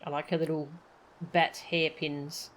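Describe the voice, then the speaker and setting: A woman's voice: deep voice, little pitch movement, unhurried, slight background noise. A woman streaming a game and making playful, joking asides. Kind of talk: casual game commentary.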